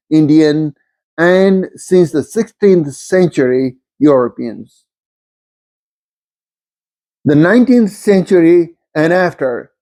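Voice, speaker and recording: Mellow-voiced male lecturer, slightly high pitch. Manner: measured, explanatory, didactic. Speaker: lecturer, teacher. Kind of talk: scripted educational lecture narration.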